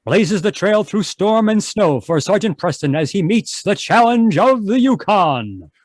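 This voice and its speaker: A man's voice: high-pitched, big pitch swings, unhurried, dry recording, clean studio sound. A man narrating an old-time radio adventure as its announcer, reading scripted lines.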